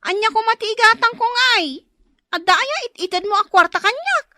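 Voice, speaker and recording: A woman's voice: very high voice, animated, normal speed, sharp tone, no echo, studio quality, full character voice. A woman with an Ilocano accent playing a long-suffering young wife and mother in a radio drama. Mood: exasperated. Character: long-suffering poor young wife and mother, scolding her idle husband. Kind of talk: Filipino radio drama acting.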